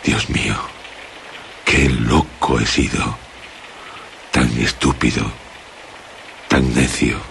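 An actor playing a sombre, anguished storyteller in a gothic radio drama, solemn and halting, gravelly. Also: male voice, rumbling low, fairly even intonation, a bit bright, slight background noise, exaggerated voice. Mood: anguished, weary. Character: sombre, very deep-voiced gothic storyteller reading anguished letter.

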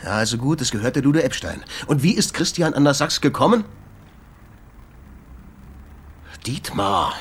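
A man's voice: mid-range voice, very animated, brisk, breathy edge. An actor playing a sardonic, insistent man who runs a jazz club and asks terse, probing questions in a German radio drama. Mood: disbelieving, pressing. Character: insistent male jazz club owner, sardonic amateur investigator.

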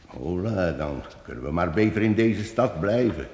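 Casting: a man playing a low-voiced steady minstrel, radio play, echoey hall. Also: normal speed. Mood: firm. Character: travelling minstrel leader, man, firm. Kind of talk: radio drama acting. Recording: echoey hall with long reverb.

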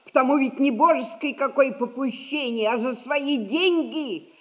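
An older actress playing a rich, sly old merchant matriarch in a theatrical audio comedy, scolding in a sardonic folksy way. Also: female voice, high voice, unhurried. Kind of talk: theatrical audio play acting.

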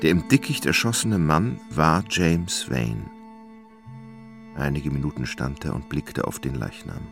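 Very deep, gravelly male narrator. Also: a little slow. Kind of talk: literary radio drama narration. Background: music.

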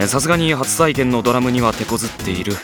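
A man playing a sardonic, put-upon high school boy who narrates and grumbles in an anime audio drama. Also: quick pace, music in the background, close to an everyday voice. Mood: wry, resigned. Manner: wry, exasperated, deadpan asides.

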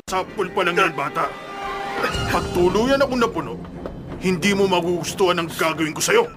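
An actor playing a menacing loan collector in a radio drama, taunting and threatening. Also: male voice, high-pitched, moderate pace, over music, lightly acted. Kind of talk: Filipino radio drama acting.

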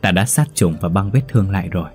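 A man voicing a kindly older healer who reassures and gently admonishes. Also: low-pitched, fast talker, faint music behind. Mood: calm. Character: older male healer-priest, kindly and wise.

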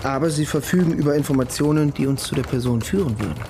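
An actor playing a matter-of-fact police detective with formal wording in a radio crime drama. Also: male voice, on the high side, fast talker, noisy background, natural voice. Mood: official, probing.

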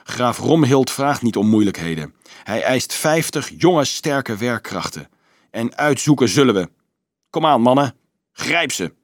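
A male narrator acts out a cruel medieval cavalry leader for a storybook, jeering and snarling his commands. The voice is forceful and raised above plain telling, with a dry, close sound. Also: mid-range voice, very animated, unhurried, no room sound. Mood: snapping, commanding. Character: cruel, scornful armed horseman leader making threats. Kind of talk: audiobook character voice.

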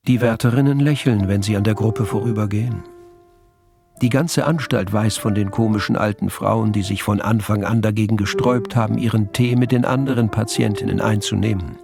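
An actor playing a measured, wry storyteller, narrating a radio play in literary German sentences. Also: male voice, fairly low pitch, steady tone, gravelly, music in the background. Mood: calm, wry.

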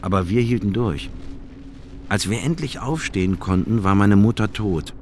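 Male actor as bereaved flood survivor, low-voiced, sombre radio drama monologue over faint ambience. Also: normal speed, darkish tone, a little hiss or hum, natural voice. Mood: grieving, sombre. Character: grieving son, male flood survivor recounting loss.

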